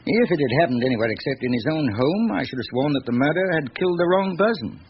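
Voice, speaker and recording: Courteous old british notary, careful formal talk, voice moves around a lot, dull noisy old radio recording. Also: male voice, on the high side, lively intonation. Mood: puzzled. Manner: measured, formal, circumspect. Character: courteous, discreet elderly male Parisian notary. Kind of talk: radio drama acting.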